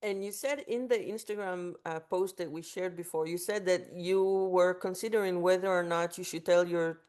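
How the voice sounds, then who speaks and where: A woman's voice: on the low side, steady tone, moderate pace, a little mellow. A woman interviewer putting questions to a guest in a conversational style.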